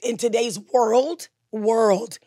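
Gushing high-voiced woman, dry close mic. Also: fairly even intonation, drawn-out words, dark tone, no room sound. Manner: gushing, repeats herself, restarts a lot. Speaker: podcast co-host and bartender. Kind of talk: casual chat.

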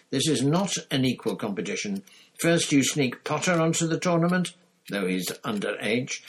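A man voicing an agitated, suspicious foreign headmaster who declaims loudly and accusingly in a storybook reading. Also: medium pitch, a little hiss or hum, pitched above the performer's own voice, lightly acted.

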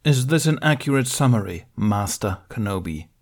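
A man's voice: mid-range voice, moderate pace, pitched above the performer's own voice, natural voice. A man voicing a stern senior warrior-monk who lists charges in a clipped, formal, reproachful way in an audiobook reading. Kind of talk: audiobook reading of fan fiction.

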